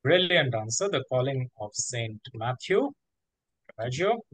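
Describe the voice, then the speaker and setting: A man works as quiz master, reading questions and judging answers. His pitch moves in a lively way, his voice is a little husky, and the sound is dry and close. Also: normal speed, no echo, low-quality recording.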